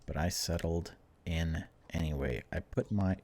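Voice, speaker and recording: A man's voice: deep voice, measured pace, darkish tone. A man hosting a gaming video, reading on-screen story text aloud in a casual way and adding his own informal reactions. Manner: informal, reacts aloud between read passages. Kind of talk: casual let's play, reading game text aloud with commentary.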